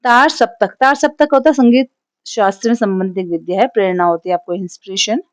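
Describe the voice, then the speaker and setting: A woman's voice: medium pitch, brisk, no room sound. A woman with a Hindi accent teaching a class, explaining a poem line by line in a patient, explanatory way and checking in with her listeners often. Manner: explanatory, frequent "theek hai" check-ins.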